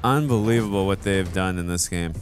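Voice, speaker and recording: A man in his thirties co-hosting a reaction, quipping excitably into a headset mic. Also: low-pitched. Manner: excitable, quick quips. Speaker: reaction co-host. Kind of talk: casual reaction commentary. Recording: game music playing behind.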